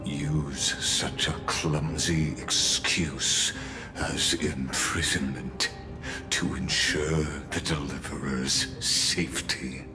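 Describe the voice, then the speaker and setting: An actor voicing a coldly polite, scheming villain in a video game, speaking in a pompous, formal and mocking way. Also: male voice, extremely low pitch, fairly even intonation, slow, full character voice. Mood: mocking, probing. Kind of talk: dramatic video game voice acting. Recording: music behind the voice. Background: music.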